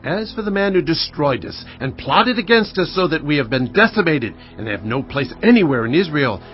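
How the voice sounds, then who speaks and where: Wronged bible tribesman, high insistent voice, muffled, music under it. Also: sing-song, normal speed. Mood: vengeful. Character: aggrieved spokesman of a persecuted ancient people. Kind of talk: dramatized audio Bible acting. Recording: dull band-limited audio with music bed.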